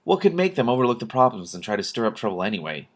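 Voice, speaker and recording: A man's voice: medium pitch, big pitch swings, rapid-fire. A man voicing a puzzled, wry king of a magic forest in a storybook, dry and questioning.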